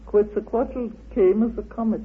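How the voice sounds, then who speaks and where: A speaker explaining things as an interviewed researcher, in a deliberate and halting way. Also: medium pitch, fairly even intonation, slight background noise. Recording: narrow phone-like, lo-fi radio recording.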